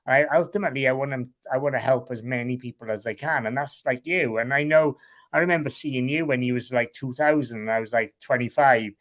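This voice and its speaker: Welsh man, plain mid pitch, candid guest in a loose chat, swears freely. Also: brisk, a bit bright. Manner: frank and rambling, swears casually. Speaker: guest, online seller.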